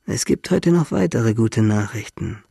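Husky young man, bitter yet playful. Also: on the low side, animated, measured pace, mellow, dry recording, clean studio sound, heavily acted. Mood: mock-ceremonious. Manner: intimate, musing, asks and answers himself. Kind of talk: radio drama monologue.

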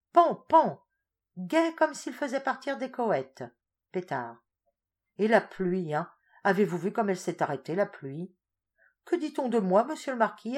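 A woman voicing a boastful, theatrical male general in a read-aloud story, loud and exclamatory. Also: lowish voice. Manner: bombastic, exclamatory.

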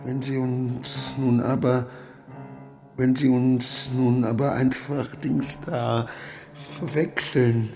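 An actor playing a wronged, anxious petty clerk in a radio play, protesting formally and fretting to himself. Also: male voice, mid-range voice, flat delivery, takes it slowly, gravelly, warm tone, soft background music. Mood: agitated self-persuasion. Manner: stiffly formal protests, agitated self-justifying muttering. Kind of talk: German radio play acting.

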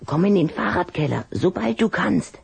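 An actress playing a small boy vampire in a children's radio play, urgent and bossy, with a slightly creaky voice. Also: fairly low pitch, expressive, moderate pace, a little mellow. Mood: desperate, urgent. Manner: dramatic, urgent.

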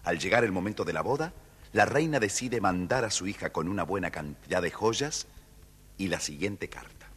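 A man's voice: low-pitched, animated, normal speed, fairly bright tone, husky. A man with an Argentine accent narrating a scripted children's story as the storyteller.

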